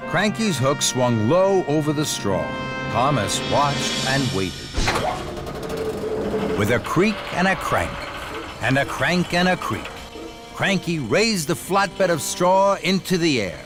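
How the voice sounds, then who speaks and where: A male narrator reads a children's story with deliberate, expressive timing. His voice is fairly high and lively in intonation, with music clearly audible underneath.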